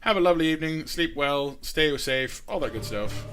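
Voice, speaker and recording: A man's voice: fairly high pitch, animated, normal speed, a bit bright, soft background music. A man live-streaming a game, chatting playfully with his chat, his voice getting scratchy late in the session. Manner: playful, chatty, sings silly bits. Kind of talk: casual gaming stream chat.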